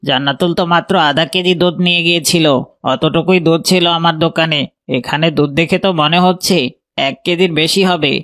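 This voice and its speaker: A man's voice: fairly high pitch, fairly even intonation, fairly quick, a bit bright, lightly acted. A man playing an emphatic adult villager in a children's moral cartoon, remonstrating as he speaks. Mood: surprised. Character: adult man, shopkeeper or stern father.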